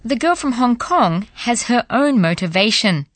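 A woman narrating a language course in a measured, explanatory way. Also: a little high, big pitch swings, no room sound. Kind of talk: scripted narration.

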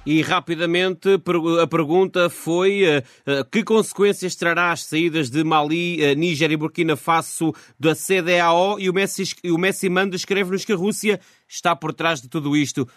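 A man's voice: fairly high pitch, normal speed, fairly bright tone, no echo. A man presenting radio news in a studio. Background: music.

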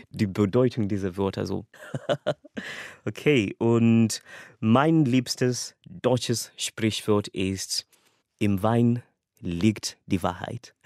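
Slow-spoken young man. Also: medium pitch, a little mellow, dry recording, studio quality. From Kenya. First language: English and Swahili. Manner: unhurried, earnest, laughs lightly between thoughts. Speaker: language student. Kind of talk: casual personal account.

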